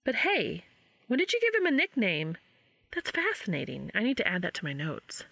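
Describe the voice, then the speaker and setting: A woman's voice: medium pitch, huge pitch swings, normal speed, gravelly, a bit bright, no echo, heavily acted. A woman voicing an upbeat, teasing young woman who runs a study, frank and patient in manner. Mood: teasing, curious.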